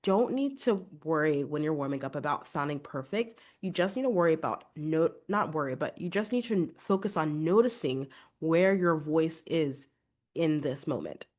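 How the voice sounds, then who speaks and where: Congested lady vocal coach, low voice, chatty and encouraging, lots of "like" and "right?". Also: animated, moderate pace, darkish tone. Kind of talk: podcast advice monologue.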